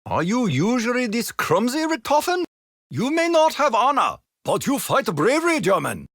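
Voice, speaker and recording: A stiff, honor-bound Japanese imperial soldier, speaks in formal game voice lines with a Japanese accent. His pitch leaps and plunges all over, sometimes within a single sentence. The recording is close and dry, with no room around it. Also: huge pitch swings, moderate pace, fairly bright tone, dry recording, exaggerated voice. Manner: formal, stiff phrasing with sudden comic deflation.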